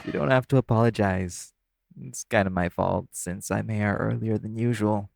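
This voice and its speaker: A man's voice: on the low side, fairly even intonation, moderate pace, creaky, background noise. A man playing an easygoing, teasing club performer who chats casually with a coworker in an intimate audio roleplay. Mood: relaxed, confiding.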